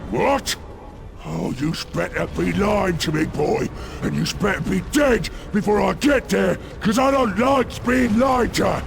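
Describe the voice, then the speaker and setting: Gravel-throated brutish ork thug. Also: male voice, sits high, lively intonation, a little slow, noisy background, exaggerated voice. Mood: angry, menacing. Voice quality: rough, gravelly growl. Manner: crude, ungrammatical, bellowing. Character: brutish, excitable, loot-hungry ork warband thug. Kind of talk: video game cutscene acting. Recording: loud music under the voice. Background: loud game music.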